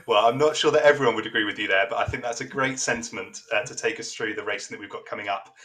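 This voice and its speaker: A man's voice: on the low side, quick pace, a bit bright. A man interviewing an athlete after a race, upbeat and encouraging.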